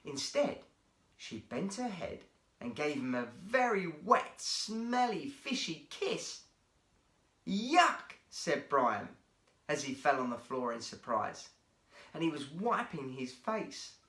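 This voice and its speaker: A man's voice: fairly high pitch, big pitch swings, slow, a bit bright. A man narrating a children's story expressively as a guest storyteller, recorded in a roomy, echoey space. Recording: roomy, echoey indoor sound.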